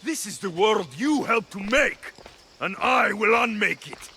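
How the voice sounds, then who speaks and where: A man's voice: sits high, animated, unhurried, bright, forceful tone, slight background noise, full character voice. A man playing an intense young ancient Greek mercenary in a dramatic video game cutscene. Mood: furious.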